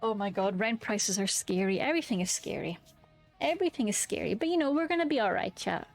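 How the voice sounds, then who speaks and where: A woman's voice: medium pitch, lively intonation, a little fast, creaky, faint music behind. A young woman streaming a game, chatting candidly and swearing freely, often restarting her sentences as she rambles. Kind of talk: casual chat while streaming a game.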